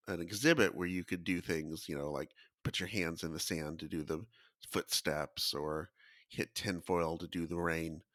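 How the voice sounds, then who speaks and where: A man's voice: low-pitched, a bit bright, no room sound, clean studio sound. A man co-hosting a podcast, speaking casually and informatively. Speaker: podcast co-host.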